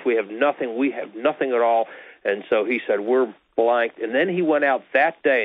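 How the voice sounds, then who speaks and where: A male guest talks on a radio interview show, conversational and full of uhs and "you know"s. His voice sits at an ordinary pitch for a man. The audio is narrow and tinny, like a phone call. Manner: conversational, with fillers.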